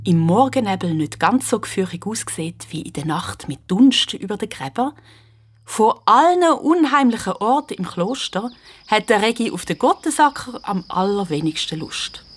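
A woman's voice: medium pitch, expressive, moderate pace, breathy edge. A woman with a Swiss accent narrating a children's radio play in an involved, suspenseful storytelling way.

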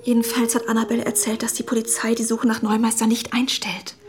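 A hurt, insistent young woman, played by an actress in a radio drama. She speaks in a fairly high voice and applies quiet, persistent pressure. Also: completely flat delivery, quick pace, music in the background, lightly acted. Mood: insistent, pressing. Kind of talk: German radio drama acting.

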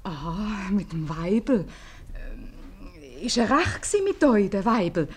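An actress with a Swiss German accent playing a curious innkeeper's wife in a 1950s radio comedy. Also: female voice, mid-range voice, sing-song, slow pace. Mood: curious, hesitant.

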